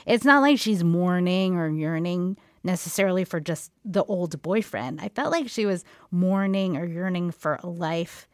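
Lady radio interviewer, kinda low voice, chatty but reflective, dry studio sound. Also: normal speed, hardly any pauses, no room sound. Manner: reflective, shares personal thoughts. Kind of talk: conversational interview.

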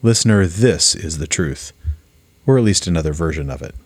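A man's voice: low-pitched, lively intonation, moderate pace, darkish tone, slight background noise. A man giving scripted audio-play narration as host, addressing the listener with mock seriousness.

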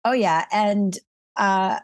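A woman's voice: mid-range voice, slow, no echo, studio quality. A middle-aged woman from Colombia, a guest in a casual interview, talking in a reflective, emotional way and laughing often.

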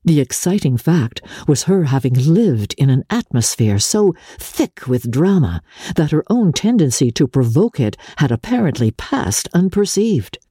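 Woman, husky mellow tone, lively swooping pitch, measured dramatic audiobook narration, deliberate phrasing. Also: no room sound, studio quality. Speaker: reader of classic fiction.

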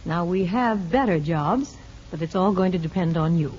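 An actress playing a brisk, firm but kindly women's prison official in a measured 1950s radio drama style. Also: female voice, lowish voice, lively intonation, a little mellow, husky. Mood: stern, businesslike. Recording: muffled old radio broadcast with music.

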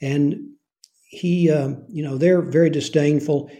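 A soft-spoken man, an author and former intelligence officer, answering interview questions in an earnest way. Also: fairly high pitch, fairly even intonation, slow.

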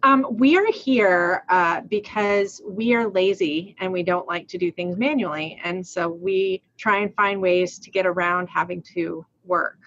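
A woman's voice: on the low side, fairly even intonation, unhurried, hardly any pauses, fairly bright tone, muffled recording. A woman in her forties from Rhode Island, co-hosting a casual accounting podcast, chatty, laughing often and restarting her sentences. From Rhode Island, USA. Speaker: podcast co-host.

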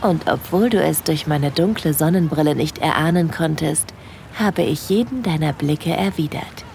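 A woman playing a shy, smitten young holidaymaker in an intimate first-person audio drama, breathy, confiding and easily flustered. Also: deep voice, normal speed, a little mellow, a little hiss or hum. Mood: dreamy, infatuated.

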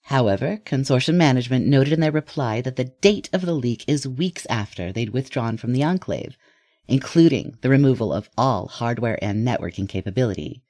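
An actor playing a composed, formal evening news anchor in an audio drama, reading scripted stories in a measured way. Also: medium pitch, darkish tone, no room sound, clean studio sound, close to an everyday voice.

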